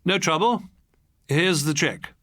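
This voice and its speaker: A man's voice: a little high, a little slow, fairly bright tone, pitched above the performer's own voice. A man voicing a fretful, complaining wife in an audiobook story, with a plaintive manner. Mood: polite.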